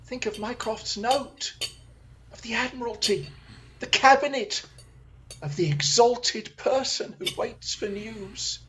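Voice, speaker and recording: A keen, assured gentleman detective as acted out in an audio drama, speaking in formal, precise phrases. He takes command with theatrical confidence, at a medium pitch. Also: slow, poor audio. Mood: grave, urgent.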